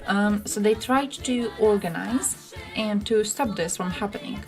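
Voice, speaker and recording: A woman's voice: mid-range voice, measured pace. A young woman from Croatia, chatting on a livestream in a blunt, sarcastic, swearing manner. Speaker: streamer. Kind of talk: casual livestream chat. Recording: music playing in the background. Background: music playing.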